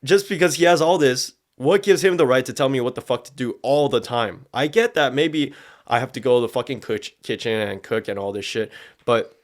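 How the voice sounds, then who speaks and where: A man's voice: mid-range voice, a little fast. Man giving casual, sweary podcast commentary. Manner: slangy, profanity-laden speech. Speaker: podcast host.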